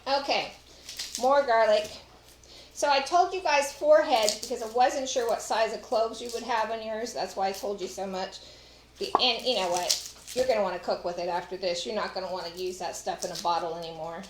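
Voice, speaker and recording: A woman's voice: mid-range voice, moderate pace, noisy background. Chatty Texan woman in an echoey kitchen. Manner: chatty, talks to viewers while working. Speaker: cooking show host. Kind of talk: casual cooking demonstration. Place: home kitchen, indoors. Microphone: far camera. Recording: echoey kitchen with clattering dishes.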